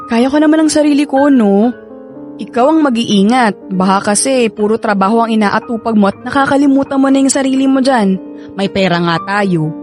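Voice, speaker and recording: Actress as a sweet high-voiced young expectant mother. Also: moderate pace, a little mellow, lightly acted. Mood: tender, caring. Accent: Filipino. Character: young pregnant live-in partner, sweet, trusting, caring. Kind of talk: Filipino radio drama acting. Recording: studio drama over background music.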